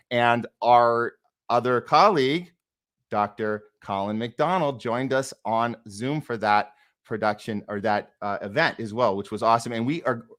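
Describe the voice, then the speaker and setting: Male host, medium pitch, informal conversational talk show delivery. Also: a bit bright. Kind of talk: casual talk show hosting.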